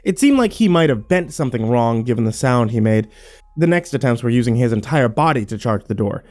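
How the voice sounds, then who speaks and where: A man playing a grim, fearful survivor, narrating his ordeal in a brooding, measured first-person horror style. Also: mid-range voice, animated, darkish tone, close to an everyday voice. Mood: grim, uneasy.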